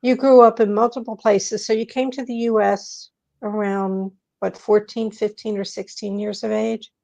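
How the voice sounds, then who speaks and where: A woman's voice: medium pitch, flat delivery, normal speed, gravelly, darkish tone, dull audio. A woman hosting an interview in a chatty way, reading out her guest's background from notes. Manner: chatty, leafs through notes. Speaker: host. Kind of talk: interview host.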